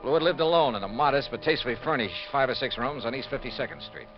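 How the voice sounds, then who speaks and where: An American man playing a brisk, shrewd insurance investigator in 1950s radio detective style, clipped, wry and questioning. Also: medium pitch, animated, lightly acted. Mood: dry narration. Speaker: lead actor and narrator of a detective radio series. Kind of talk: 1950s radio detective acting with first-person narration. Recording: muffled vintage radio recording with music.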